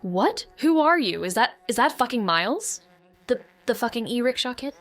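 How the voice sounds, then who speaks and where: A woman's voice: a little high, big pitch swings, normal speed. A woman playing a wry, anxious, sweary amateur sleuth, narrating a noir audio drama in the first person. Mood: rattled.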